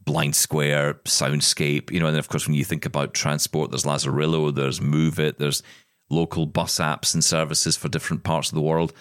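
A man's voice: rumbling low, little pitch movement, moderate pace, a bit bright, smooth, dry recording, clean studio sound. A man co-hosting a podcast from a spare room at home, chatty and jokey. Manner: chatty, jokey, acts out quoted exchanges. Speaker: podcast co-host. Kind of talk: casual chat. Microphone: remote recording.

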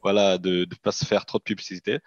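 A man's voice: sits low, little pitch movement, quick pace, no room sound, lo-fi sound. A man in his thirties with an Italian accent, raised in the Italian-speaking part of Switzerland, explaining at length in a casual interview and often restarting his sentences. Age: 30s. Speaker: guest, gold company founder.

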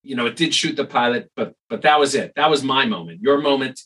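A man's voice: medium pitch, brisk, bright, forceful tone, slight background noise. A man who hosts the podcast and is a surgeon, chatting casually and telling stories about his past. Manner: chatty, storytelling asides. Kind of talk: casual interview chat.

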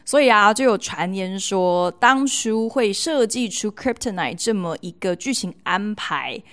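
Taiwanese mandarin lady doing a chatty podcast monologue, playful and expressive, mid pitched voice. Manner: expressive, playful emphasis with colloquial sentence-ending particles. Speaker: podcast host. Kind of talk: chatty storytelling monologue to listeners.

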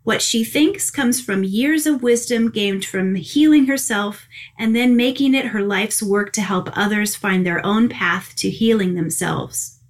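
A woman's voice: mid-range voice, measured pace. A tired woman hosting a spiritual podcast in a gushing, chatty way, laughing often. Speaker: podcast host and angel communicator. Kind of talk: casual spiritual podcast chat.

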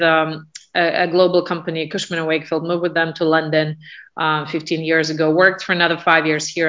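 Brief: Russian-accented businesswoman, 40s, bright tense tone, lowish pitch, halting fillers. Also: dull audio. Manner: hesitant, many fillers. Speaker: guest, business owner. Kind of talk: interview answers.

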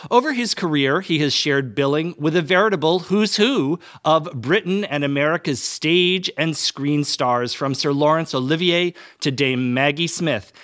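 A man's voice: on the high side, fairly bright tone, dry recording, clean studio sound. A man hosting a podcast, speaking in an effusive, formal way with theatrical phrasing. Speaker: podcast host. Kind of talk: warm-up narration and interview. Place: club library for the talk.

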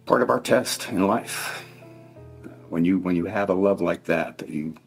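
A middle-aged man with a very deep voice speaks solemnly and haltingly, heavy with grief. His tone is gravelly and he repeats himself as he addresses someone directly in a formal statement. Faint guitar music sits underneath. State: emotional, grieving. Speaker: victim's father giving impact statement. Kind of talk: spoken victim impact statement in court. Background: faint plucked guitar music.

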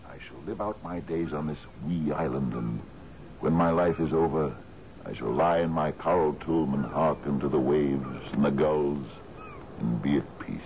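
An actor in a radio drama playing an expansive, dreamy sea captain in his fifties, musing theatrically with questioning little grunts. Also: very deep voice. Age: 50s. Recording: dull, noisy 1970s radio recording.

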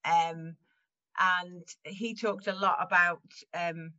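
Woman from Wales, bright voice, chatty and self-deprecating, laughs a lot, dull video call sound. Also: fairly low pitch, steady tone, slow. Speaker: guest improviser. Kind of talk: casual interview chat.

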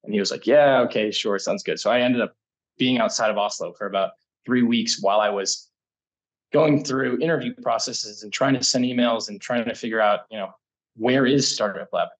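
American man in his thirties, lowish husky voice, casual and rambling interview storytelling. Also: normal speed, low-quality recording. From United States. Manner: rambling, self-correcting, laughs often. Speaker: guest, startup incubator energy lead.

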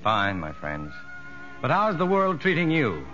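An actor playing a kindly, sincere prison psychologist, measured and well-spoken, in a 1950s radio drama. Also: male voice, mid-range voice, huge pitch swings. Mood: reflective. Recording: dull vintage radio recording with music.

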